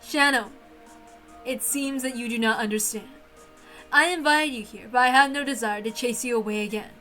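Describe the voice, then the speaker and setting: A woman's voice: a little high, normal speed, bright, forceful tone, soft background music. A woman voicing a grand, possessive witch in formal, theatrical speech, lonely and insistent, as in a visual novel reading. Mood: insistent, fond.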